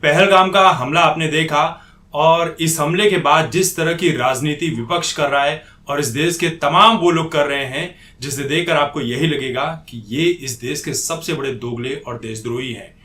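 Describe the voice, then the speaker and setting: A man's voice: a little high, normal speed, pushed and bright. A man giving an opinionated political commentary monologue in an indignant, accusatory manner. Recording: echoey room.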